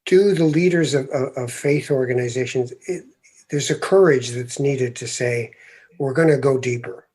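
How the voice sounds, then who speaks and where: Husky, thoughtful male podcast host. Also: medium pitch, normal speed. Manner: thoughtful, animated when making a point, laughs easily. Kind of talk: reflective conversational interview.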